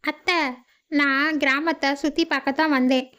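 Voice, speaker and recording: A woman's voice: high-pitched, steady tone, moderate pace, sharp tone. A woman voicing a fussy young city girl visiting a village in a cartoon. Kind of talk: cartoon voice acting.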